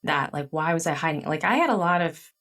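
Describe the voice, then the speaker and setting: A middle-aged woman, a guest author whose first language is Chinese, recounts personal memories with animated, candid energy. Her voice sits on the low side. She sounds a little distant from the microphone. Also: a little fast. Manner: animated storytelling with frequent "like" fillers. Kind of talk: candid interview conversation.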